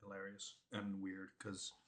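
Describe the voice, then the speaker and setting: A man with a low, rather flat voice chats on a casual podcast in English, his underneath. He wanders off on tangents and pauses to hunt for the right words. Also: noisy background. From Netherlands. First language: Dutch. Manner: tangential, laughs at himself, searches for words.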